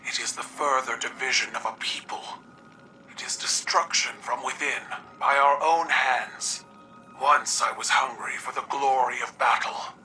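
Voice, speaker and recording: An actor playing a proud, grave veteran warrior ruler in a video game, speaking formally and deliberately. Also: male voice, mid-range voice, fairly even intonation, bright, forceful tone, recorded from a distance, faint music behind, low-quality recording. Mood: grave, troubled. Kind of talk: dramatic video game acting.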